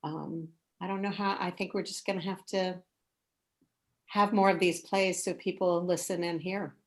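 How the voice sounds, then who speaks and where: Mature American woman, slightly low voice. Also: steady tone, normal speed. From United States. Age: middle-aged or older. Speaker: discussion participant. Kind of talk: casual personal storytelling. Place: indoors, on a video call. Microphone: video call microphone.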